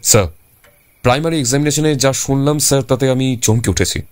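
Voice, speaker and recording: A man's voice: mid-range voice, brisk, lightly acted. An actor playing an earnest junior police officer who reports respectfully to his superior in an audio drama. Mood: startled, urgent. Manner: deferential, addressing his superior as sir. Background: background music.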